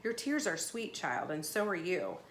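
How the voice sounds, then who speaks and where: A woman's voice: on the low side, very animated, normal speed, reverberant. A kindly old woman from a storybook, as a female reader puts her on. She murmurs tenderly and mournfully, fussing over a child. A slight noise sits under the voice. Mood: wistful, consoling. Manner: soft-spoken, murmuring.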